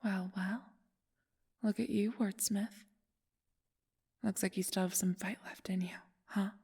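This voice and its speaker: A woman playing a calm, knowing ally in an ASMR audio roleplay, whispering with teasing encouragement. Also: mid-range voice, measured pace, breathy edge. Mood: wry, encouraging. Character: calm, knowing female ally coaxing someone onward.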